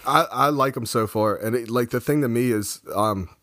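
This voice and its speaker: A hoarse man co-hosting a casual football review, talking excitably and rambling with many restarts. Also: medium pitch, moderate pace, dry recording. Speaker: football podcast co-host. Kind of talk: casual match review chat.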